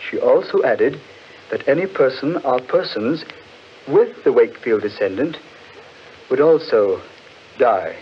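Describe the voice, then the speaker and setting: An actor playing a sceptical gentleman in a 1940s radio horror drama, measured and declamatory. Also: medium pitch, animated, lightly acted. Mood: grave. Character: brisk, sceptical gentleman, dismissive of superstition. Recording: muffled, noisy 1940s radio recording.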